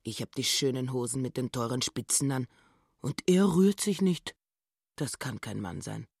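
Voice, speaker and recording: An actress playing a petty, self-righteous housekeeper turned wife in a radio drama, indignant and insistent. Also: female voice, extremely low pitch, moderate pace, creaky, darkish tone, husky, heavily acted. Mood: bitter muttering.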